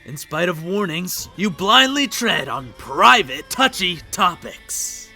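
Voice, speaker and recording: A man playing a bitter, grieving, middle-aged hard-drinking huntsman in a fan comic dub, with a gravelly, raspy voice and a mocking, menacing drawl. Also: high voice, sing-song, takes it slowly, sharp tone, soft background music, heavily acted. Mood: cold, mocking.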